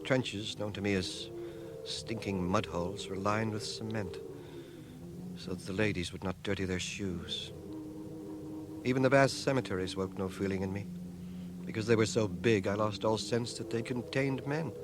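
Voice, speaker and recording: A man's voice: on the low side, moderate pace, noisy background, lightly acted. An actor playing a learned schoolmaster and war veteran, narrating his life in a radio drama with dry, literate irony. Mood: dry, detached. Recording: 1980 radio drama cassette transfer.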